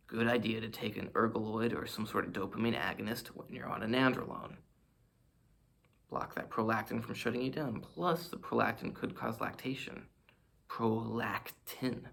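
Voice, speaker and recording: A man's voice: mid-range voice, vocal fry, a bit bright. A man vlogging about fitness, talking to a camera in front of him in a rambling, self-mocking way as he shows off poses. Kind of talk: casual rambling monologue to camera.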